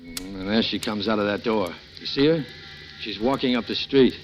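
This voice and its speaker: A man playing a smooth, coaxing schemer who talks down to a young woman, in a 1940s radio drama. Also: lowish voice, moderate pace, a little hiss or hum, natural voice. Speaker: lead actor. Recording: dull lo-fi 1940s radio broadcast.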